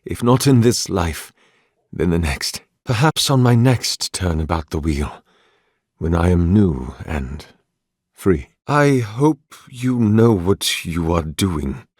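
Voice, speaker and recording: A man acting a video game role as a weary, courteous, devout scholar-priest of a sun god, speaking in measured, formal, reverent phrases. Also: deep voice, lively intonation, darkish tone, no room sound, clean studio sound, exaggerated voice. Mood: weary, resigned. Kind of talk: video game character dialogue, formal and archaic.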